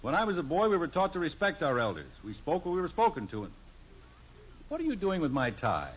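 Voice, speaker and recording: Exasperated, blustering sitcom dad. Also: male voice, on the high side, wildly swooping pitch, fairly quick, breathy edge, exaggerated voice. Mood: exasperated, strict. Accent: American. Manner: lecturing, commanding, speechifying. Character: exasperated, strict, blustering suburban father, middle-aged. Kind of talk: 1950s radio sitcom acting. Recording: muffled vintage radio recording.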